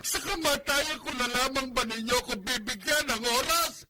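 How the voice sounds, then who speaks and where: A man's voice: extremely high pitch, moderate pace. An actor playing a lonely, weary elderly father in a Filipino radio drama, plaintive and sighing. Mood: anguished, reproachful.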